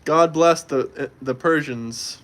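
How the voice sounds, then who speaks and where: A young American man from Florida, talking off the cuff on a livestream. His voice sits a little high for a man, and he wanders and repeats himself while answering viewers. He sounds casual and unscripted. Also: takes it slowly, a bit bright. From Florida, United States. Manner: loose, conversational, repeats himself. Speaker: livestream host. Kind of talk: casual livestream chat, reading viewer comments. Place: indoors in Montenegro.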